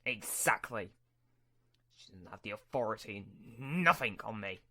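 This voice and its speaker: A man's voice: fairly low pitch, animated, a little slow, sharp tone, no room sound, exaggerated voice. A man acting as an arrogant, menacing Sith master in a sci-fi roleplay, with a threatening, imperious manner. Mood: indignant, boastful. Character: arrogant, hot-tempered Sith lord master, menacing and domineering.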